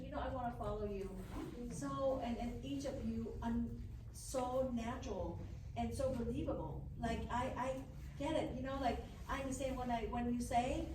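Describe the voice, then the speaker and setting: A woman commenting casually from the audience in an effusive, repetitive way. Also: on the high side. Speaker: audience member. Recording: very echoey room, distant mic, noisy.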